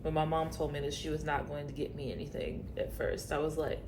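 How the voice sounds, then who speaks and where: A woman in her thirties co-hosts a casual podcast chat, her voice sitting a little low. She rambles through a story with lots of ums and likes. A faint hiss runs under the recording. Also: fairly even intonation, fairly quick. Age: 30s. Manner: rambling storyteller with many fillers. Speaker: podcast co-host.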